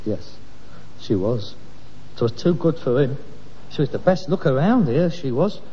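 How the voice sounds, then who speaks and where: Actor as a petulant London son, very animated. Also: male voice, mid-range voice, a little slow, natural voice. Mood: wistful. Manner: indignant, emphatic, theatrical. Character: petulant grown-up son, touchy, devoted to late mother. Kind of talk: British sitcom acting. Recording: muffled, noisy, reverberant old sitcom recording.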